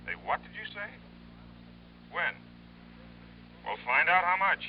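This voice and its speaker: An actor playing a hearty, brusque insurance office contact on the telephone in a 1950s radio drama, talking in a bluff, hurried way. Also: male voice, high-pitched, big pitch swings, slight background noise. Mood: brisk. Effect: telephone call. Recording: distant muffled old radio recording.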